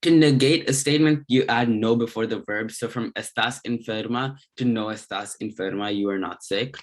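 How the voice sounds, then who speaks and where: A man giving a slide-based language lesson as a tutor, explaining in short, halting phrases with pauses. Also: on the low side. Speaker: Spanish grammar tutor.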